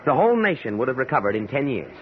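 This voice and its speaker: An actor playing an earnest, polite and confident author in an old-time radio drama. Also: male voice, medium pitch, big pitch swings, fast talker, lightly acted. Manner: polite, idealistic. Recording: muffled old radio recording.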